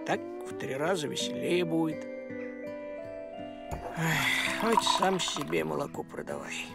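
A man's voice: on the high side, wildly swooping pitch, a little slow, creaky, exaggerated voice. A man voicing a thrifty, business-minded talking cat in a cartoon, bossy and emphatic, given to grumbling. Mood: dejected grumbling. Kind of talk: animated cartoon voice acting. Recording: music behind the voice.

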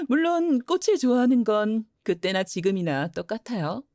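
A woman playing a flower-shop mother in her forties, gentle and teasing, often laughing. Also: a little high, animated, a little slow, no echo, studio quality. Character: warm mother who runs a flower shop. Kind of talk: audio drama acting.

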